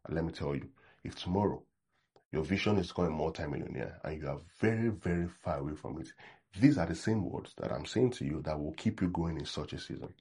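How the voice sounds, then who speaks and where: Low husky Nigerian male pastor. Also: mellow. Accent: Nigerian English. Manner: conversational preaching, frequent "right?" check-ins; acts out sample prayers in a mocking tone. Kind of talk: sermon.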